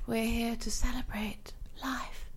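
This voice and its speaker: A woman playing a serene cult leader with a soft, sing-song, preachy manner. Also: fairly high pitch, flat delivery, slow pace, slightly rough, full character voice.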